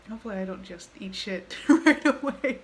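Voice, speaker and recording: A woman's voice: medium pitch, lively intonation, moderate pace. A woman giving casual commentary as she plays a video game. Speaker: gamer playing and commenting.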